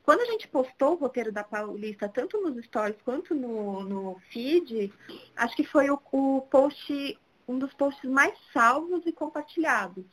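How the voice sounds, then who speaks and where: A woman's voice: high voice, expressive, a little slow, breathy edge, rough recording. A woman, talking casually as an interview guest. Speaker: interview guest, social media city guide creator. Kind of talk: casual chat.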